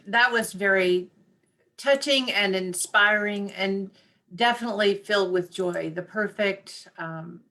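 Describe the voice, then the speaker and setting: A woman minister hosting an online church service over a video call, gently thanking her guest and leading the congregation in prayer. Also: medium pitch.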